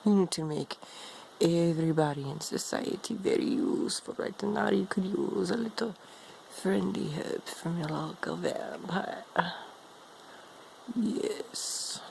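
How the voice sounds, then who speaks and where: A woman playing an ancient female vampire demon in an improvised role play, speaking in a haughty, teasing, seductive way with a gravelly voice. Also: a little mellow, slight background noise, full character voice, poor audio. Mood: condescending, coaxing. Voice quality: gravelly, low, creaky. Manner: slow, haughty, teasing, calls listener darling. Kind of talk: improvised in-character role play monologue to viewer.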